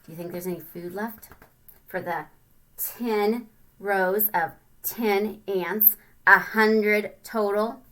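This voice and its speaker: A woman reading a picture book aloud to children, expressive and singsong, with chatty asides and questions to the listener. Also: medium pitch, slow pace. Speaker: read-aloud storyteller.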